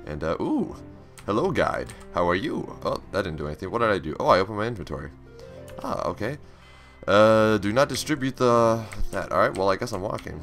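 Casting: lowish, lively, slightly raspy male host narrating a game playthrough over faint game music. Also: brisk. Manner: chatty, reads on-screen text aloud, upbeat. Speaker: gaming channel host. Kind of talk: casual let's play commentary.

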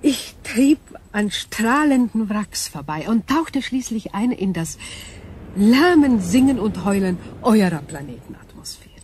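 A woman playing an ancient alien cosmic intelligence in a science fiction radio drama, calm, analytical and formal, with a measured, detached delivery. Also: mid-range voice, slight background noise. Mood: solemn, wistful.

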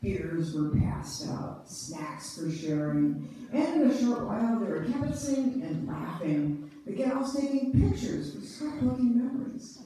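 Lively, mellow woman stage narrator. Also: a little high, measured pace. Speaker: narrator in amateur reader's theater. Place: church sanctuary, live stage reading. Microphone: distant audience camera. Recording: echoey church hall from far off, noisy.

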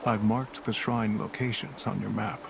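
Gravelly, solemn old village mentor. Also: male voice, lowish voice, steady tone, normal speed, background noise. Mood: grave, guiding. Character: solemn, regretful older village mentor and hunter. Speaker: character voice in a video game. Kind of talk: scripted video game dialogue.

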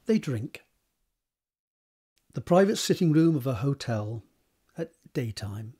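A man's voice: medium pitch, very animated, slow pace, mellow, slightly rough. A man narrating scripted stage directions for a drama.

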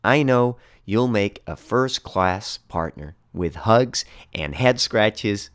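Man as an earnest, expressive mail carrier lady. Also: fairly low pitch, takes it slowly, smooth. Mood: tender, hopeful. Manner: affectionate, earnest. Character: affectionate, earnest woman letter carrier. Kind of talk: storybook character read-aloud.

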